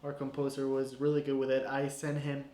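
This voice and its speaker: A man's voice: medium pitch, a little fast. Chatty young man in an echoey room. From Guatemala. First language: Spanish. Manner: relaxed, chatty, full of "like" and "you know". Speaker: filmmaker. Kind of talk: casual breakdown of his short film.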